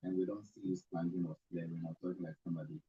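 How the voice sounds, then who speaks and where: A man hosting a casual interview, asking questions in a playful, lively way. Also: sits low, racing, breathy edge. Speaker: show host. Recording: dull sound with faint noise.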